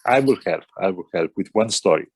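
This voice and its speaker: A man speaking on a roundtable panel, halting and searching for words with frequent pauses and restarts. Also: low-pitched, expressive, vocal fry, slightly rough. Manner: halting, many fillers and restarts. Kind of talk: roundtable discussion.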